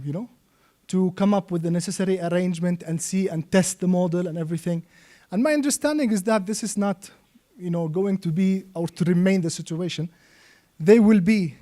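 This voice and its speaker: A man's voice: sits high, mellow. A man from Yemen explains material as a seminar trainer, often checking understanding with short tag questions. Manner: checks understanding with frequent "okay?" and "right?". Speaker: trainer and Islamic finance expert.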